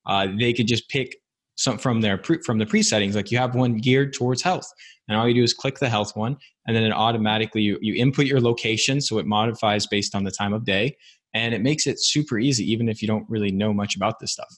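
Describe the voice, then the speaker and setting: Laid-back podcast host, lowish voice. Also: male voice, quick pace. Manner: friendly, chatty, says "man" a lot. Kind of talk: casual interview.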